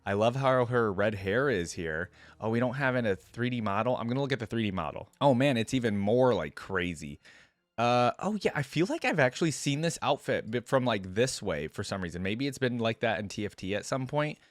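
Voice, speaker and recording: A man's voice: mid-range voice, lively intonation, brisk, no room sound. A man giving casual, informal reaction commentary, enthusiastic and thinking aloud as he goes. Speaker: reaction video host.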